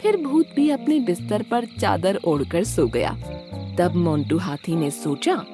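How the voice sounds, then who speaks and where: Animated woman narrating a children's story. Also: fairly low pitch, unhurried. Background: background music.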